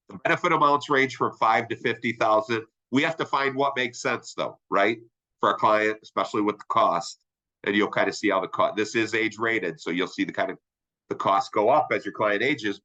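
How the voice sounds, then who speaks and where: A middle-aged man with a stuffy, nasal voice from a sinus infection leads a training webinar. His tone is bright and forward, and he talks in a chatty, upbeat way. He keeps asking his listeners "right?" as he goes. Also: on the low side, rough recording. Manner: upbeat, conversational, asks "right?" often. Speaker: insurance sales trainer. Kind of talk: webinar presentation.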